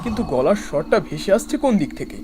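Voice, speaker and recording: A man playing an excitable, chatty young traveller in a horror cartoon. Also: high voice, quick pace, background noise. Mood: curious, chatty.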